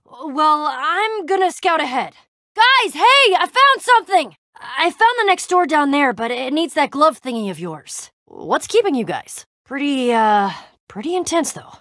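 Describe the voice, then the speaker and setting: A woman's voice: sits high, very animated, a little slow, bright, forceful tone, no echo, studio quality, exaggerated voice. A woman playing a plucky, proud young female adventurer in a video game, with a casual, slangy, sardonic delivery.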